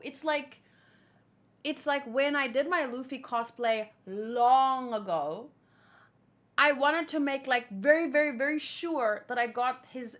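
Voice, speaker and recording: High-voiced woman doing a casual manga read-along. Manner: animated fan chatter, reading dialogue aloud. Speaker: host reacting to a manga chapter read-along. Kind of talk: casual read-along with excited fan reactions.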